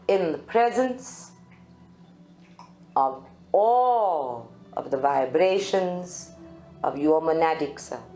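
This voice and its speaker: A woman leading a guided spiritual meditation in slow, deliberate phrases with long pauses. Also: on the low side, darkish tone. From South Africa. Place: group meditation room at a centre. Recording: live roomy recording with faint music. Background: faint music.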